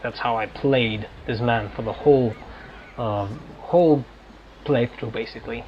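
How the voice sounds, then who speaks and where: Man giving muffled, halting game commentary. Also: mid-range voice. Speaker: gaming video host. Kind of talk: casual roleplay playthrough commentary. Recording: dull sound, faint background noise.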